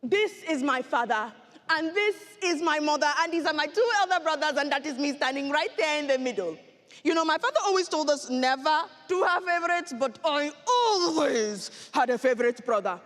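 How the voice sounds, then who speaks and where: Woman performing an earnest, high-voiced Rwandan survivor. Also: lively intonation, fairly bright tone. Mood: fond, animated. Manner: deliberate, theatrical storytelling with dramatic pauses. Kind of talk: competitive dramatic monologue. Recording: echoey hall with long reverb.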